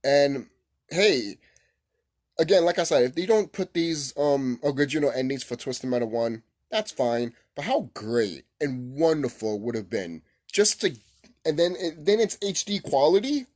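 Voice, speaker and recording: Excitable man with lively, swooping pitch. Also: a little slow. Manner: excitable, rambling, repeats himself, swears casually. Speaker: gaming video host. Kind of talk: casual unscripted vlog commentary.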